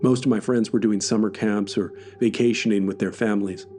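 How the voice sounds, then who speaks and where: A man's voice: fairly low pitch, fairly even intonation, fairly quick, mellow, faint music behind. A man reading scripted first-person horror narration as a story podcast narrator.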